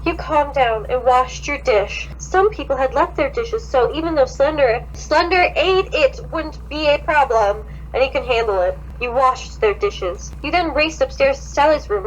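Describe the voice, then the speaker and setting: A woman's voice: high voice, lively intonation, moderate pace, few pauses, slight background noise, poor audio. A young woman co-hosting a podcast, mixing dramatic reading with sweary, sarcastic casual commentary. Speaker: podcast co-host.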